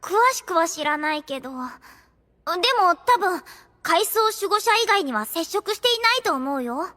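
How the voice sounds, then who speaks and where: A woman's voice: very high-pitched, expressive, normal speed, bright, forceful tone, long reverb tail, exaggerated voice. A woman playing a young tomboy girl in an anime audio drama, blunt and casual, with hesitant protests.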